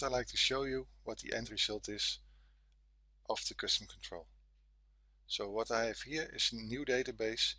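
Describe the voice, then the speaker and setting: A man with a Dutch accent, a native Dutch speaker, giving a software tutorial in careful, simple phrases. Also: medium pitch, no room sound. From Netherlands. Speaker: software developer, guest presenter.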